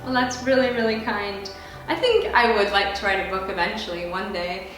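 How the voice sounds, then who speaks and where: A chatty young American woman talks through viewer questions in an upbeat, casual way. Her voice sits at an ordinary pitch and is picked up from a distance in a very echoey room. Music plays clearly behind her. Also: normal speed, fairly bright tone. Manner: chatty and upbeat, with frequent "like" and "you know" fillers. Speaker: ballet dancer answering viewer questions. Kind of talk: casual Q and A vlog.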